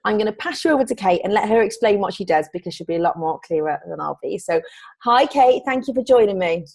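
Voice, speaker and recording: A woman hosting a relaxed interview with a slightly gravelly voice. She keeps the guest going with short, encouraging replies. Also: mid-range voice, fast talker. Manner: encouraging, frequent backchannels. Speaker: podcast host. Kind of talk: casual interview chat.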